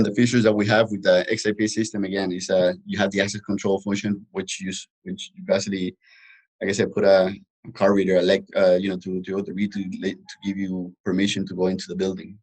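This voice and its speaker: A man presenting an informal technical webinar as a product trainer, explaining haltingly with frequent restarts and repetitions. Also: deep voice, a little mellow, poor audio.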